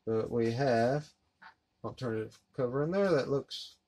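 A man in his thirties giving a relaxed, rambling unboxing commentary while handling discs at his desk. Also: mid-range voice, unhurried. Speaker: collector unboxing a disc set. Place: collectibles room indoors. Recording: noisy home recording.

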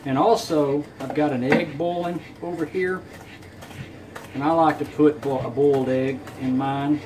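A man, a home cook casually talking through a recipe in a folksy, unhurried way. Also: on the high side, steady tone. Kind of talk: casual cooking demonstration. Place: home kitchen, indoors. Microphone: camera on counter a short way off. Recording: clear room noise in a kitchen.

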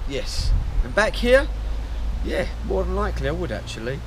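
Man, forties, blokey and chatty, fairly high voice, action cam at arm's length, clear outdoor noise. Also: very animated, normal speed. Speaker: motorcycle vlogger. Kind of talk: casual travel vlog. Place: outdoors, hotel grounds and ferry deck. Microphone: action camera held at arm's length.

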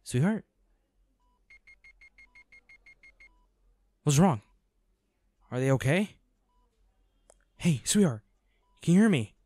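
A man's voice: a little high, very animated, unhurried, darkish tone, no echo, studio quality. A man playing a protective, devoted hunter boyfriend in a dramatic roleplay audio, speaking earnestly and intensely. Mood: anxious.

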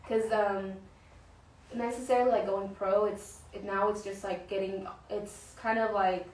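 A woman's voice: medium pitch, fairly even intonation, a little slow, darkish tone. Chatty young woman, roomy distant sound. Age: young adult. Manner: chatty, filler-heavy, searching for words. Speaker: guest, pro soccer player. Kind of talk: casual interview conversation. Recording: roomy, distant mic, faint ambience.

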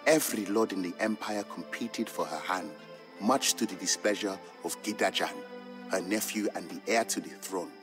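An actor playing an Ethiopian trader and father, narrating old legends in a measured, fond storybook style for a game. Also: lowish voice, close to an everyday voice. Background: background music.

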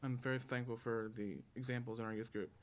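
A young man giving a halting public testimony in church, searching for his words. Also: medium pitch, little pitch movement. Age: young adult. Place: church sanctuary at a lectern. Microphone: stand microphone. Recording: noisy church hall recording.

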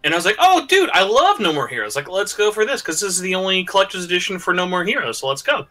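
A man talking games on a podcast as a guest, loud, animated and emphatic. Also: high-pitched, fast talker. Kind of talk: casual gaming chat.

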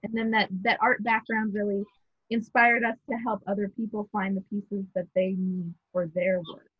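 A young woman speaking as a guest on a video call, relaxed and matter-of-fact. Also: mid-range voice, normal speed, slightly rough, no room sound. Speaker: guest, shop co-owner. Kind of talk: casual video call interview. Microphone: shared video call. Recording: tinny phone-like call audio.

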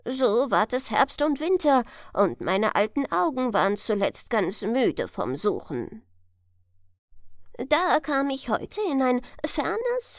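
A woman's voice: on the high side, no room sound, clean studio sound. A woman voicing a wise old male stork in a storybook tale, earnest and proclaiming solemnly. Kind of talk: storybook character voice.

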